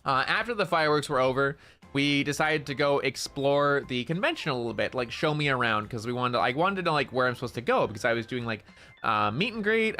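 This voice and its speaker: A young man with a nasal voice, chatting loudly and excitably on a casual stream, full of slang. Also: mid-range voice.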